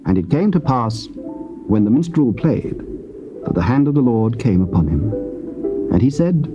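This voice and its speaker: Solemn narrator on muffled old cassette. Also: male voice, mid-range voice, very animated, over music. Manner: measured, solemn reading. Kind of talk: scripted scripture narration.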